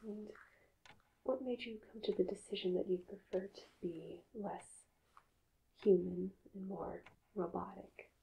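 Woman, hushed ASMR doctor roleplay, close-miked, calm and halting, gravelly fry, faint noise with clicks. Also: mid-range voice, lo-fi sound. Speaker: ASMR performer playing a hypnotist doctor. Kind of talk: ASMR medical roleplay, soft-spoken and whispering.

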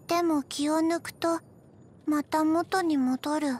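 A tiny zombie girl from a video game. Her voice is high and childlike, and she speaks very slowly in soft, halting pieces. She sounds emotionless yet gentle, as if memory comes to her a bit at a time. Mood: flat, drowsy. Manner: halting, deadpan, soft-spoken with frequent pauses. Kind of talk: scripted video game character voice lines.

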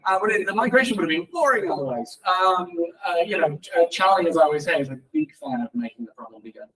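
A man's voice: sits high, animated, quick pace, a crowd in the background. A man speaking informally at a tech meetup, answering questions with hesitant, self-correcting starts. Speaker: conference speaker. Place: indoor meeting room, speaking at a lectern. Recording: distant mic in a meeting room.

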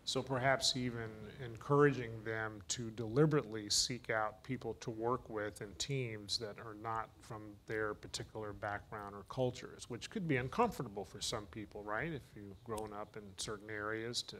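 A man's voice: fairly low pitch, fairly even intonation, moderate pace, few pauses, fairly bright tone. A middle-aged man moderates a conference panel. He asks conversational questions that pick up on what the guests have just said. A faint hiss sits under his voice.

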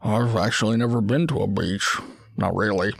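A man's voice: on the low side, steady tone, normal speed, vocal fry, no echo, studio quality. A man voicing a polite, hesitant closet monster in an audio story, speaking in a mild, conversational way. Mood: uncertain, reflective. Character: male closet monster, hesitant, polite, tentacled child-eater. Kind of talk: acted audio story dialogue.

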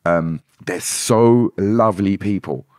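A man's voice: sits low, dry recording. A man, a podcast guest talking animatedly in a casual interview, often restarting words, close to a desk mic in a small studio. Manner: animated, gestures a lot, quick restarts. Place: small studio room indoors.

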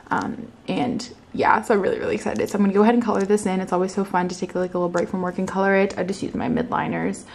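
A woman's voice: medium pitch, gravelly, darkish tone, a little hiss or hum. A young woman in her twenties from Canada, chatting casually to camera at home in an upbeat way, filmed on a nearby camera. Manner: chatty, upbeat, lots of "like" and "you guys". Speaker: vlogger. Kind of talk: casual vlog chat to camera. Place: living room at home, indoors.

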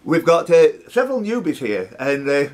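A man's voice: on the high side, sing-song, moderate pace, a little mellow. A man in his fifties hosting a live pub quiz, reading out questions with jokey, teasing banter. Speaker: quiz master. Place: social club bar room.

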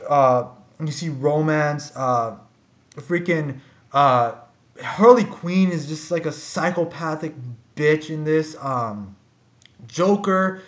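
A young man casually reviewing a comic book to a webcam in his bedroom, rambling with lots of fillers and waving his hands. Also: on the high side. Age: young adult. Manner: rambling, filler-heavy, animated gestures. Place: bedroom with ceiling fan, indoors. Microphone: webcam built-in mic. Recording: roomy, dull lo-fi webcam audio.

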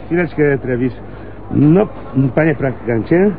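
Sardonic police lieutenant, tinny radio. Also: male voice, a little high, lively intonation, measured pace. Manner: wry, bossy, drawling for emphasis. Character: brusque, sardonic male police lieutenant, homicide chief. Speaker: radio drama actor. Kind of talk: radio play acting. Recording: muffled, phone-like radio recording with hiss.

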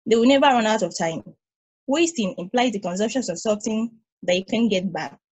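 Woman reading a calm ageless probe, husky, patient, philosophical. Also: medium pitch, brisk, close to an everyday voice. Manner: calm, reasoned explaining. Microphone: video call.

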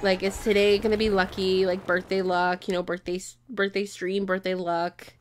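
A woman streaming a game, chatting casually, playfully dramatic and pleading, her voice going hoarse. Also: medium pitch, flat delivery, moderate pace. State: losing her voice. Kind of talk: casual gameplay commentary. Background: game music.

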